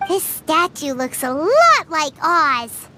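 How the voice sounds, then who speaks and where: A woman voicing a tiny, excitable floating female companion who chatters eagerly in a game. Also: high-pitched, slow, noisy background, heavily acted. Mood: curious. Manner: refers to herself in third person, eager. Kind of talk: game voice acting. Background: music.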